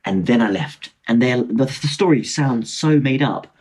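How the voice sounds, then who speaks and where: A man in his twenties with a husky voice, telling stories casually and excitedly on a podcast. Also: mid-range voice, moderate pace, soft background music. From London, England. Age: 20s. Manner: excitable, re-enacts dialogue. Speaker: podcast guest, motorcycle traveller. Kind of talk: casual animated storytelling.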